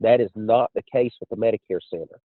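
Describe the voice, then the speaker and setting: A man giving a measured, explanatory testimonial as an insurance agent on a conference call. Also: fairly low pitch. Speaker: insurance agent and training director. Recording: muffled phone line with room echo.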